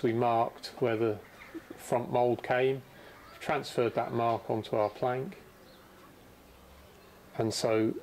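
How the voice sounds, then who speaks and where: A man's voice: mid-range voice, a little mellow. Middle-aged male instructor, easygoing and practical, recorded in a workshop with a faint background hum. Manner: easygoing, practical explaining with restarts. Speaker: boatbuilding instructor. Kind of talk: hands-on workshop demonstration. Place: boatbuilding workshop indoors.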